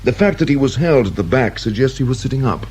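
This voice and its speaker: On a slightly hissy old radio drama recording, a man plays a self-satisfied British pathologist in a mid-pitched voice. He is avuncular and unflappable, treating grim matters in a breezy, matter-of-fact way. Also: animated, fast talker, a little mellow, lightly acted. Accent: British English.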